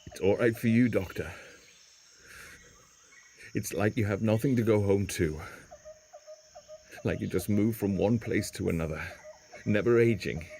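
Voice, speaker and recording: A man playing a gruff, stubborn, wounded castaway in an audio drama, guarded and sardonic. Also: fairly low pitch, unhurried, long pauses, warm tone, a little hiss or hum. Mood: anguished, resigned. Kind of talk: fan audio drama acting.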